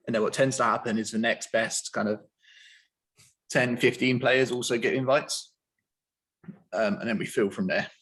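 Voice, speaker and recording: A man hosting an online interview, explaining a tournament in a relaxed, filler-heavy way. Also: medium pitch, moderate pace, pauses often, husky. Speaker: host.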